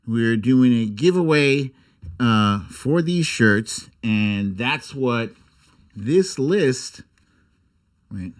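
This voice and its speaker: A man's voice: mid-range voice, animated, extremely slow. An American man hosting a casual livestream, chatting informally and jokily with some mild swearing. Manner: informal, jokey, mildly profane. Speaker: host of an online livestream show. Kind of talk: casual livestream chat and commentary.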